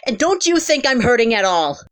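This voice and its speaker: A woman's voice: a little high, big pitch swings, a little fast, no echo, pitched above the performer's own voice. A woman voicing a middle-aged father in an audio story, guarded and wounded, earnest and apologetic. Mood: angry, defensive. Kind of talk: dramatic character dialogue in a narrated audio story.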